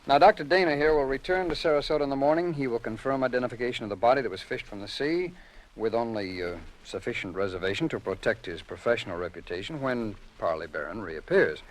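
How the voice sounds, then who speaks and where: A man playing a smooth, evasive American federal agent in a 1950s radio drama, officious and coy, with deliberate pauses. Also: medium pitch, animated, lightly acted, low-quality recording. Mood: smug, assured.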